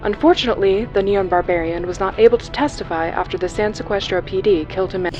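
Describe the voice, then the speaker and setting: A woman's voice: mid-range voice, quick pace, a little mellow, dull audio, close to an everyday voice. A woman playing a radio news anchor in an audio drama, reading a formal, matter-of-fact scripted report. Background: music.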